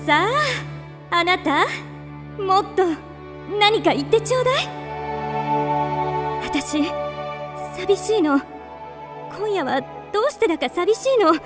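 An actress playing a lonely, dreamy woman lost in romantic fantasy, with polite, theatrical delivery in a radio drama. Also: extremely high pitch.

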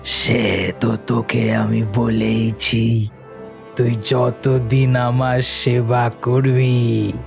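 A man voicing a menacing, gloating flesh-eating ghost in a horror cartoon, with drawn-out, threatening delivery. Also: medium pitch, level tone, gravelly, music in the background, heavily acted.

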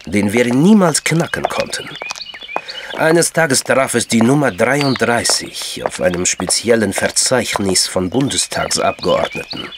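Calm, knowing intelligence man from Georgia, lowish voice, formal explainer, radio drama, noticeable background noise. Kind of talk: German crime radio drama dialogue.

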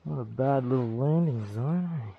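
A man's voice: mid-range voice, normal speed, gravelly, narrow, tinny audio, a little hiss or hum. A man hosting a fishing vlog, chatting in an easygoing, agreeable way and tacking on tag questions. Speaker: fishing vlogger host. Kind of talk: casual outdoor chat. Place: outdoors on a boat and in island bush.

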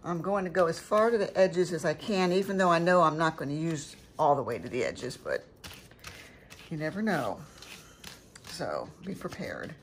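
Older lady doing a chatty craft tutorial, low voice, pretty noisy kitchen recording. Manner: rambling, chatty, self-correcting. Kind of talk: casual craft demonstration, talking through steps. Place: home kitchen at a countertop. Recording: clearly noisy home recording with clicks.

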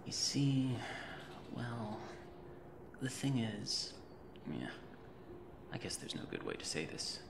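A man's voice: lowish voice, gravelly, heavily acted. A man playing a creepy yet cheerful mad reanimator in an ASMR roleplay, talking in a theatrical, fussy, rambling way with polite macabre humour. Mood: politely macabre. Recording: roomy echo with noisy ambient backdrop.

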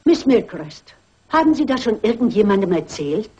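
A woman's voice: mid-range voice, very animated, normal speed, mellow, slightly rough, dull audio. An actress playing an elderly, shrewd amateur sleuth in a German radio crime drama, polite, composed and motherly in her firmness. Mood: calm, reassuring.

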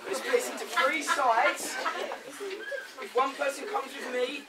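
A woman hosting from a stage, loudly urging a live audience along in a projected voice. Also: high voice, fairly even intonation, unhurried. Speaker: pantomime master of ceremonies. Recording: distant stage recording, noisy crowd.

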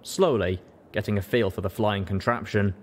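Low-voiced man narrating a story. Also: steady tone, normal speed. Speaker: audiobook reader.

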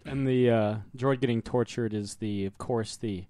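A man's voice: mid-range voice, steady tone, moderate pace, dark tone. A man co-hosting a casual movie commentary, sharing behind-the-scenes trivia with blunt asides. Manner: informed, dry, blunt asides. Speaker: podcast co-host.

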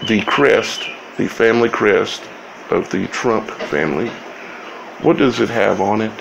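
Slow, very gravelly man. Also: on the low side, noisy background. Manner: halting, broken phrases with long pauses. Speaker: video host. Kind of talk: informal scripture commentary to viewers.

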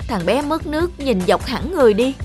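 Actress as anxious young cartoon mum, plaintive and scolding, clear music underneath. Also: female voice, high voice, sing-song, brisk. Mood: panicked, anxious. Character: anxious young new mother, exasperated with her old-fashioned mother. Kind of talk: cartoon dialogue.

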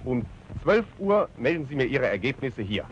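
An actor playing a stern German army commander giving a clipped, formal military briefing, on an old 1940s film soundtrack. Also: male voice, medium pitch, sing-song, moderate pace, natural voice. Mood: commanding. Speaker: regimental commander briefing officers. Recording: dull 1940s film soundtrack with faint hiss.

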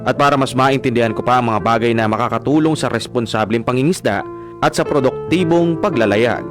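A man's voice: medium pitch, a little fast, lightly acted. An actor playing an earnest young son, narrating his own story in a radio drama. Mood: reflective. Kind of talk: scripted first-person drama narration. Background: guitar music.

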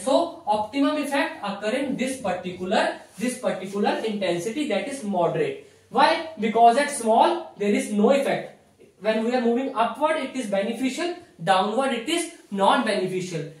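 A man in his thirties with an Indian accent giving a didactic whiteboard lecture in short, repeated phrases. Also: very high-pitched. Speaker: physiotherapy lecturer. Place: small indoor classroom at a whiteboard. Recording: very echoey room, distant microphone.

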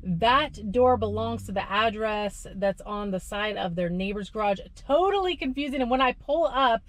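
A woman's voice: fairly high pitch, lively intonation, normal speed, no room sound. A woman in her thirties, a delivery driver, chatting casually to camera from inside her parked car, talking with her hands. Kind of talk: casual vlog narration. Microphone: camera on the dashboard.

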